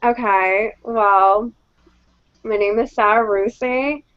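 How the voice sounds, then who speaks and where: A woman's voice: medium pitch, steady tone, takes it slowly, no room sound, muffled recording. Hesitant woman in her twenties. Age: 20s. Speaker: guest, show host. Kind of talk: casual podcast chat.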